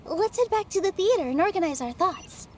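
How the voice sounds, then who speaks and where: An actress playing a gentle, earnest young theater dancer with a soft, polite manner. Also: female voice, very high voice, animated, fairly quick, very noisy. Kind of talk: video game voice acting.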